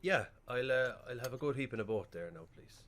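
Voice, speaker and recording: An actor playing a well-meaning but bumbling Irish funeral director in a radio play, chatty, hesitant and rambling. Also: male voice, medium pitch, animated, brisk, a bit bright, lightly acted. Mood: awkward, chatty.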